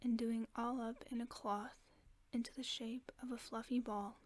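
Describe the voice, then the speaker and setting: A woman reading a fairy tale aloud as a sleep aid, in a soft, mostly unvoiced whisper close to the microphone. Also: a little high, little pitch movement, normal speed. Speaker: ASMR storyteller. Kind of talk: whispered ASMR bedtime story reading.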